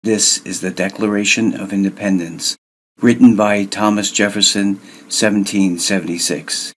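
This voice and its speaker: A man's voice: on the low side, steady tone, moderate pace. A man reading a historical document aloud in a formal way as a volunteer. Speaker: volunteer reader.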